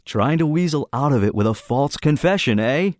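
A man's voice: mid-range voice, animated, measured pace, dry recording, clean studio sound, pitched above the performer's own voice, lightly acted. A man voicing a pompous teenage schoolboy who stages a mock trial, judicious and accusing.

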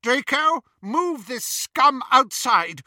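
A man's voice: extremely high pitch, big pitch swings, slow, bright, forceful tone, dry recording, clean studio sound, pitched above the performer's own voice, heavily acted. A man voicing an imperious, frightening witch on the edge of madness, commanding and volatile, in an audiobook story. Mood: imperious scorn. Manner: shrieking, commanding.

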